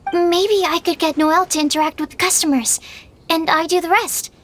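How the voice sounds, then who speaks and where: A woman's voice: very high-pitched, fairly even intonation, fairly quick, a little hiss or hum. A woman voicing a shy, polite young female alchemist in a video game, hesitant and earnest. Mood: nervous, polite. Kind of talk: video game character voice acting.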